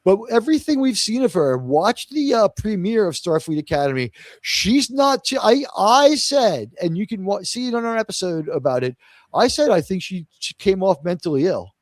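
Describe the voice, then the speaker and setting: A man's voice: high-pitched, sing-song, normal speed, dry recording. A man from Queens, New York hosting a live discussion show, blunt and opinionated, swearing freely. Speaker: show host. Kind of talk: casual live panel talk.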